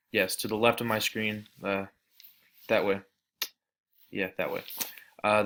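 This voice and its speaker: Young male student, deep low voice, rambling casual presenter, lots of fillers. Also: fairly bright tone. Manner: rambling, many fillers and restarts. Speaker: student giving a class presentation. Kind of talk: casual screen-share class presentation.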